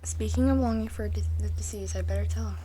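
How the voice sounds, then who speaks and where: A woman game streamer giving casual let's-play commentary, chatty and thinking out loud. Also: medium pitch, steady tone, a little fast, slight background noise.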